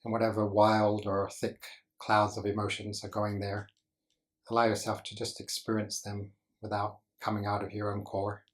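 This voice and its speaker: A man leading a guided meditation as a teacher, speaking gently in short instructional phrases with deliberate pauses. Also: fairly low pitch, monotone, low-quality recording.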